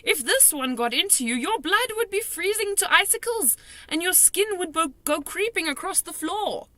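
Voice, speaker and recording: A woman voicing a gentle, excitable old male giant who mangles his grammar and invents words. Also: sits high, normal speed, no echo, pitched above the performer's own voice. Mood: fierce. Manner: jumbled grammar, made-up words.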